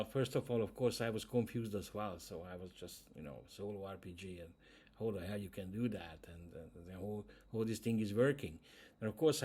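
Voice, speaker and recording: A man from Hungary with a Hungarian accent, speaking English as a second language, talking casually to camera as host, with frequent hesitations. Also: fairly low pitch. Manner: hesitant, many "uh" fillers, non-native grammar. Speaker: YouTube channel host.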